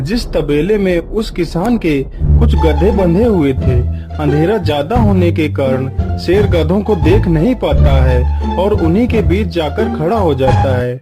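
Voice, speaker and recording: A man narrating a scripted cartoon story. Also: fairly high pitch. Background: music.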